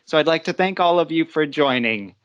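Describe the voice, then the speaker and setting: A man teaching an online English lesson, explaining step by step in an unhurried way with frequent "uh"s over a video call. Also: fairly high pitch, animated, a bit bright, clean tone, highs cut off. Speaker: guest teacher.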